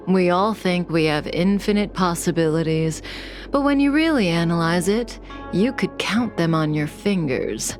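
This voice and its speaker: A calm, enigmatic woman from a video game, speaking in a fairly low, measured voice. She is composed and coolly playful, a teasing manipulator who sounds fully in control. Music plays clearly behind her.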